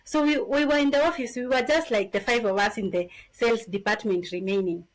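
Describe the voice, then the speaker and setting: A woman in her thirties from Kenya with a Kenyan accent, a podcast guest telling her story in an animated, casual way. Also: a little high, brisk. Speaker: podcast guest, business founder.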